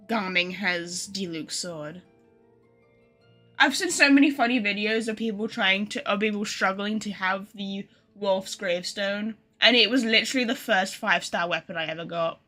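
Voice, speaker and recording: A woman's voice: medium pitch, a little slow, sharp tone. A woman chatting casually with viewers on a game livestream, thinking aloud in an informal, chatty way. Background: game music.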